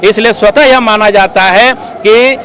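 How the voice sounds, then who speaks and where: A man's voice: high-pitched, a little slow, a bit bright. A man being interviewed as an activist, speaking formally and earnestly as he argues his case at length, with habitual filler phrases. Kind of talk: outdoor street interview, explanatory speech.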